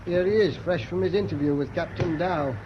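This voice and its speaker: An actor playing an excitable British wireless operator held prisoner in a radio drama, colloquial and querulous, with a British accent. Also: male voice, high voice, fairly quick, music in the background, natural voice. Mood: eager. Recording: muffled old recording, busy background.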